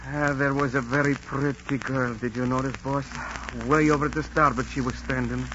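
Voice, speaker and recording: A man's voice: mid-range voice, little pitch movement, normal speed, close to an everyday voice. An actor playing an eager, lovestruck pirate henchman, loyal to his captain, in a 1940s radio drama. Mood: lovestruck, pleading. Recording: dull, faintly noisy 1940s radio recording.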